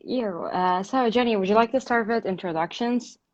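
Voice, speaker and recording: A woman's voice: on the high side, moderate pace. A young woman from Turkey, casually interviewing a guest over a video call and filling her talk with "like" and "uh". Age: young adult. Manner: chatty, leans on "like" and "uh". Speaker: interviewer on a livestream. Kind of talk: casual chat. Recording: dull lo-fi livestream audio.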